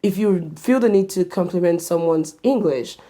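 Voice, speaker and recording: A woman hosting a talk show, explaining things to the viewer in a measured, deliberate way. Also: on the low side, dark tone. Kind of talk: scripted-style explainer to camera.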